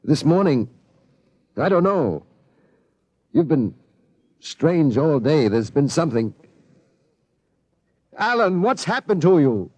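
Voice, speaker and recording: An earnest middle-aged father in a radio drama. His pitch is lively and wide-ranging, his delivery concerned and a little halting, recorded dry and close. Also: no room sound, heavily acted. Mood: bewildered, worried. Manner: incredulous, concerned, halting when flustered. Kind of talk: old-time radio drama acting.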